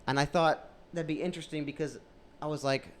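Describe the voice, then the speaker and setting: A man's voice: a little high, fairly even intonation, brisk, a little hiss or hum. A man in his late twenties co-hosting a podcast, chatting in a rambling, laid-back way. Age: 20s. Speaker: podcast co-host. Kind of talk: casual podcast chat.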